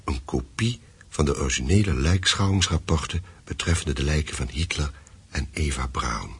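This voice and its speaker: An actor playing a grave, methodical investigator in a radio drama, with a husky voice and a measured, deliberate delivery. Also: male voice, a bass voice, exaggerated voice. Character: grave, methodical male investigator piecing together a wartime secret. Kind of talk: Dutch radio play acting.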